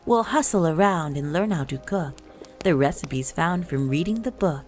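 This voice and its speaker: A woman's voice: low-pitched, animated, moderate pace, darkish tone, soft background music. A woman voicing an eager, busy little girl speaking in storybook rhyme.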